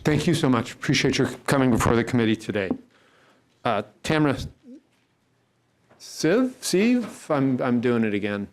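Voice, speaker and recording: A man's voice: mid-range voice, sing-song. A man chairing a legislative committee hearing, calling witnesses in a polite, procedural way. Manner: polite, procedural, quick asides.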